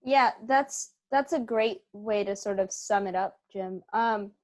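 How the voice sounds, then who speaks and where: A young woman with a medium-pitched voice speaks as an interview guest. She explains things conversationally and carefully, pausing between phrases as she puts her thoughts together. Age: young adult. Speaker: theater performer and interview guest.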